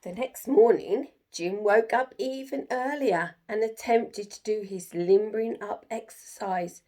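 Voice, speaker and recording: A woman's voice: mid-range voice, measured pace, a little mellow. A woman storyteller reading a children's picture book aloud with expression.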